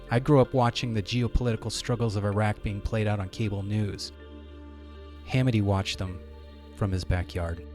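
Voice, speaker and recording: A man's voice: fairly low pitch, a little mellow. A man reading a scripted introduction as a podcast host.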